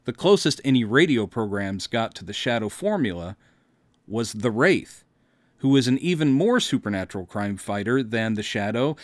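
A man's voice: mid-range voice, dry recording. A middle-aged American man hosting a podcast, reading scripted first-person narration in a wry, theatrical storytelling manner. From Texas, United States. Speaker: podcast host and director.

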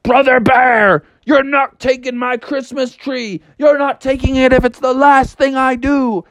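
A worked-up father frog, as a man telling a story plays him, his voice pushed higher than normal and cracking into shouts. He pleads and defies with stagey force. The sound is close and dry. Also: steady tone, measured pace, no room sound, dull audio. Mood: defiant. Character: desperate father frog, pleading then defiant.

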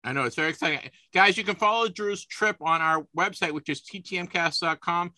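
A man's voice: high voice, brisk, bright, forceful tone, dry recording, clean studio sound. A man in his fifties hosting a casual sports podcast, chatty, with frequent restarts and repeated words. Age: 50s. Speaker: podcast host.

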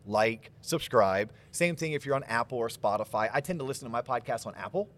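A man's voice: mid-range voice, animated, fairly bright tone. A man hosting a podcast interview at a conference, joking and hyped in casual talk. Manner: hyped, joking, fast-talking. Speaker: podcast host. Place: conference venue.

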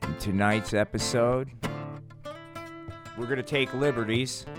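A man's voice: mid-range voice, unhurried, music in the background. A man hosting a podcast with loose comic storytelling, laid-back, slangy and rambling. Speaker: podcast host.

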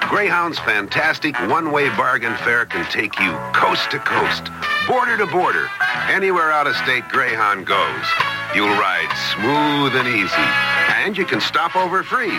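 A man's voice: fairly high pitch, poor audio. A man reading a scripted radio advertisement. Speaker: commercial announcer.

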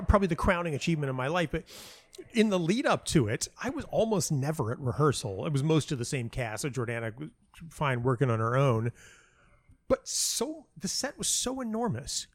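A man's voice: fairly high pitch, no echo. A man giving casual interview answers as a playwright, rambling and often interrupting himself as he tells stories. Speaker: playwright and audio dramatist being interviewed.

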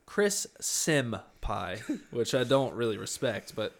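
A man's voice: medium pitch, lively intonation, slow pace, gravelly. A young man co-hosting a podcast, reading listener emails aloud with playful, dry asides. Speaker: podcast co-host.

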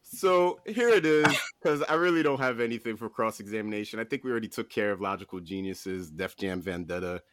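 Guy hosting a podcast debate, really animated, argumentative and incredulous, close dry sound. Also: fairly low pitch, a little fast, hardly any pauses, dry recording. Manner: argumentative, mock-incredulous, emphatic. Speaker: podcast host. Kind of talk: casual comic debate.

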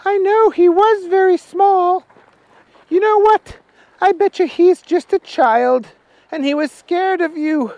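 A man putting on a bright, high-voiced woman. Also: slow pace, dry recording, dull audio, heavily acted. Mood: friendly. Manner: high, lively and friendly.

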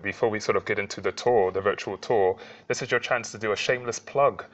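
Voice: medium pitch, fast talker, fairly bright tone. A host introducing a guest and asking questions in a friendly, conversational way. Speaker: podcast host. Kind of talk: hosted interview. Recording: dull lo-fi remote audio.

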